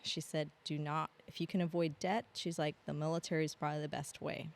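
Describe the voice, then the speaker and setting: Low-voiced chatty woman guest. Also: level tone, brisk, creaky. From grew up in Argentina. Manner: chatty, laughs often, many ums. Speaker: podcast guest, Air National Guard staff sergeant. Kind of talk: casual interview storytelling.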